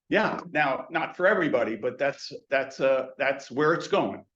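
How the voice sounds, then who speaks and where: A man's voice: medium pitch, lively intonation, measured pace, a bit bright, muffled recording. An older man presenting on theater intercoms in a webinar, talking in loose runs with many restarts and fillers. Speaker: intercom industry educator.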